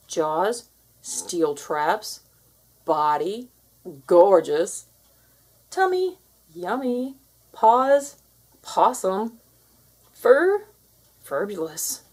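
A woman's voice: medium pitch, darkish tone, husky, heavily acted. A woman voicing a smug, sassy alpha cat who lectures younger cats with boastful, theatrical flair in a storybook read-aloud.

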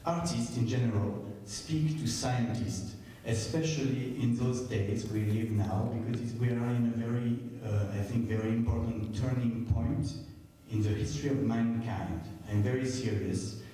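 A man's voice: mid-range voice, moderate pace, few pauses, dark tone, slight background noise. A man in his fifties with a French accent, moderating a stage talk into a handheld mic, hesitant with many fillers. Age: 50s. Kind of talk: public stage conversation. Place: large theater stage. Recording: echoey hall from far off.

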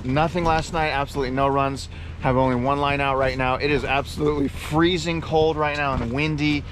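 A man's voice: medium pitch, steady tone, a little fast, noisy background. A man in his thirties chatting casually to camera outdoors in a laid-back, slangy way. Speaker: fishing vlogger. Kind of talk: casual outdoor vlog chat. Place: open windy beach, sometimes inside a canopy tent.

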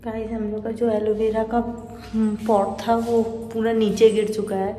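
A woman's voice: a little high, flat delivery, normal speed, background noise. A young woman, chatting casually to viewers in a family vlog, recorded on a phone at home. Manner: chatty, addresses viewers as guys. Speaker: family vlogger. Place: home balcony, outdoors.

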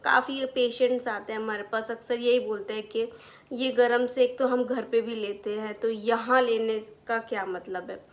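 A woman's voice: on the high side, fairly even intonation, moderate pace. A young adult woman presenting as a physiotherapist, explaining technical terms in plain words in an educational style. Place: small furnished room indoors.

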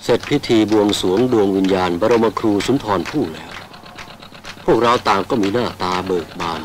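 A man's voice: fairly low pitch, moderate pace. A man reading scripted, ceremonial documentary narration on a vintage film soundtrack. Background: music.

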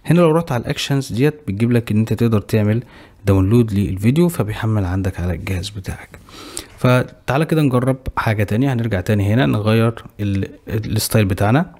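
A young man with an Egyptian Arabic accent who hosts a tech channel. He briskly talks viewers through a website, explaining each step and click as he goes. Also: lowish voice, dark tone. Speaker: tech tutorial host. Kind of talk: screen-recorded software tutorial.

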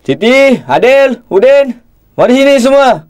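A man's voice: very high-pitched, expressive, unhurried, darkish tone. An actor playing a kindly, modest middle-aged father in a cartoon, considerate and fatherly. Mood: instructing. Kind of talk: cartoon voice acting.

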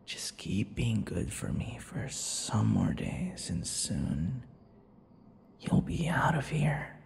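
A man voicing a possessive, centuries-old vampire in an intimate ASMR boyfriend roleplay, teasing and breathy, often dropping toward whispers. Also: lowish voice, sing-song, slow pace, a little mellow, slight background noise, exaggerated voice. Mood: pleased, coaxing. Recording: long reverberant echo.

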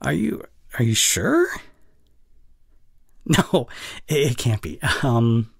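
A man playing a tender, anxious young boyfriend in an ASMR audio drama, speaking intimately and haltingly with breathy sighs. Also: mid-range voice, very animated, no echo, clean studio sound, exaggerated voice. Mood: shocked, panicked.

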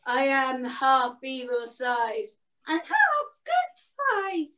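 An amateur pantomime performer giving introductions and jokes in a drawn-out, declaiming way. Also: high voice, wildly swooping pitch, bright, forceful tone. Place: living room at home.

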